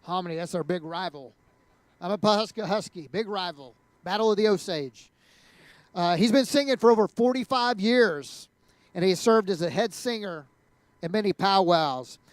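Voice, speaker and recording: A man's voice: sits high, normal speed, dry recording. A man hosting an event, introducing a guest in a conversational way with frequent fillers. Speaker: event host.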